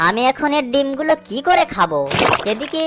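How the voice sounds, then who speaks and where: A woman's voice: high voice, very animated, normal speed, noisy background. A woman voicing a sly, greedy, scheming fox in a children's cartoon, with a crafty, self-satisfied way of talking. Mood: greedy, gleeful. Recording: music behind the voice. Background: music.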